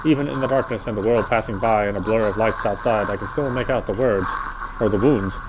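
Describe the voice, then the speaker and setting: A man's voice: on the low side, brisk, background noise. A man reading a visual novel aloud as dramatic first-person narration, now and then stumbling over the text. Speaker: player reading a game aloud.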